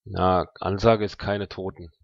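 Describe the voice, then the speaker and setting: A fairly low-pitched man chats at a game table. He is hesitant and works things out aloud as a player. The recording is dry and a little muffled. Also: flat delivery, unhurried, creaky, dry recording. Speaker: tabletop role-playing player. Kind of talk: casual game-table chat. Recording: slightly dull, dry sound.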